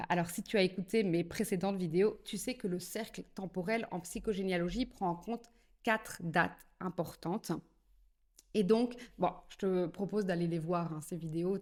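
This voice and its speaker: A woman's voice: lowish voice, darkish tone. A Belgian woman from French-speaking southern Belgium talking straight to the viewer in a casual explanatory tone, leaning often on little lead-ins like "eh bien" and "alors". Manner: chatty, addresses the viewer directly, many "eh bien" and "alors". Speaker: psychogenealogy video creator. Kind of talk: casual explanatory talk to camera.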